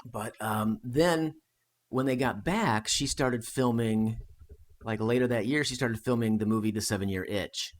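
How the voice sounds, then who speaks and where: A man's voice: medium pitch, measured pace, a little hiss or hum. An American man co-hosting a podcast, chatting casually about history and rambling with fillers and restarts. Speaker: podcast co-host.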